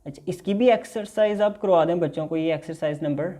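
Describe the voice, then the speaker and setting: A man's voice: fairly high pitch, brisk, warm tone. A man teaching basic English in a recorded lecture, patiently explaining and reading example dialogues aloud.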